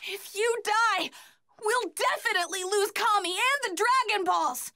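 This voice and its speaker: Very high-pitched earnest little boy. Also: a little slow, bright, forceful tone, no echo, clean studio sound, exaggerated voice. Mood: brave, urgent. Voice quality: childlike. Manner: earnest, urgent. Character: small earnest young boy, brave but frightened. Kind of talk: English anime game dub acting.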